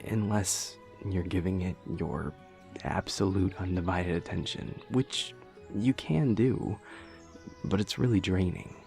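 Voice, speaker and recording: A man's voice: sits low, unhurried, gravelly, darkish tone, faint music behind. A young man playing a dorky, earnest boyfriend in an intimate audio roleplay, rambling hesitantly and nerdily with playful self-deprecation. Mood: thoughtful explaining. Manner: hesitant, rambling, nerdy explaining.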